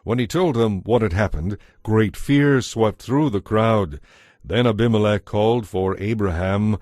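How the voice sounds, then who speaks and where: A man narrating a dramatized audio Bible as scripted, expressive, measured storytelling. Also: on the low side, no echo, clean studio sound. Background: faint music.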